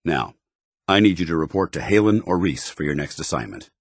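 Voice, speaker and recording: A dutiful paladin in power armor from a video game. His voice is very deep and recorded very dry. He speaks with stern, formal military bearing, clipping his phrases like an officer. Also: animated, a little fast, no echo. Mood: resolute, businesslike. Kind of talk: video game companion dialogue acting.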